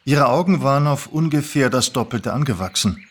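Mid-pitched male story reader. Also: animated, a little fast. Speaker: narrator, actor. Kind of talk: scripted story reading. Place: small theatre, live recording.

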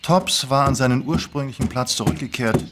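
A man's voice: mid-range voice, a little fast, heavy background noise. A man reading scripted story narration as part of a dramatized reading. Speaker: narrator and reader.

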